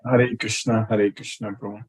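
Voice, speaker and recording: A man's voice: on the low side, little pitch movement, moderate pace, husky. A man speaking as a spiritual teacher in a live online class, greeting students one by one and often repeating his greetings and short phrases. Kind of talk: live question and answer with students.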